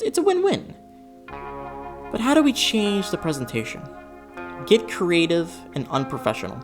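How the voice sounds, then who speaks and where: A young adult narrating a scripted video essay in an earnest, emphatic way. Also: medium pitch.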